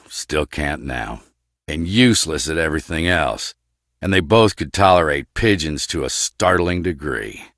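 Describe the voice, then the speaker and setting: An actor playing a gruff, guarded, brooding scientist in a video game, with a terse, clipped, deadpan delivery. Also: male voice, a bass voice, dry recording, studio quality, heavily acted. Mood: curt, guarded.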